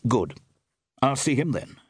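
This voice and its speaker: A man's voice: medium pitch, big pitch swings, a little slow, slightly rough, dry recording, studio quality, voice pushed up, heavily acted. A man voicing an urbane, affable and inquisitive novelist in an audiobook, polite and direct. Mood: brisk, probing. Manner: polite, direct, well-spoken. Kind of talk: audiobook character voice.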